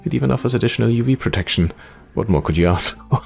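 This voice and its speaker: A man's voice: mid-range voice, expressive, quick pace, vocal fry, soft background music. A man playing a game, reading its text aloud expressively and adding casual commentary. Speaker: gamer playing through a demo.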